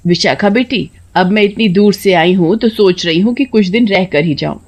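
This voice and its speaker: A woman's voice: medium pitch, normal speed, close to an everyday voice. A woman voicing a scheming, spiteful grandmother in a cartoon story, with a dramatic, scolding manner. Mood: disapproving, calculating. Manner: dramatic, scolding, wailing when feigning hurt.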